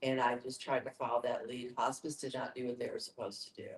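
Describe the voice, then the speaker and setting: Flat-toned woman in an echoey room. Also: a bass voice, a little fast. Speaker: discussion participant. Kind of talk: recounting personal experience.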